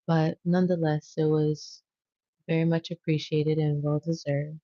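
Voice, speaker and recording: Mellow-voiced slangy tarot livestreamer woman. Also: deep voice, little pitch movement, a little slow, no echo, dull audio. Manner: chatty and slangy, addresses viewers directly. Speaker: tarot reader and livestream host. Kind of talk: casual spiritual livestream chat.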